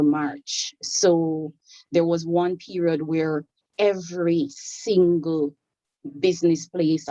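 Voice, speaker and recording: A woman with a Jamaican accent speaking as a panelist in an academic online panel, measured and thoughtful, with frequent fillers and restarts. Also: low-pitched, dark tone, dry recording, muffled recording.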